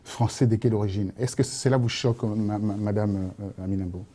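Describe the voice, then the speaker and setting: A man's voice: on the low side, fairly even intonation, warm tone, a little hiss or hum. A man hosting a studio debate, introducing the guests and asking questions with many stumbles and restarts. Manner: halting, many restarts and fillers. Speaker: debate host.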